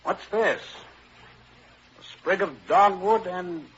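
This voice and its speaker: A man's voice: high-pitched, slow pace, fairly bright tone, slightly rough, heavily acted. An actor playing a stern, suspicious man in an old radio drama, curt and commanding. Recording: muffled vintage radio recording.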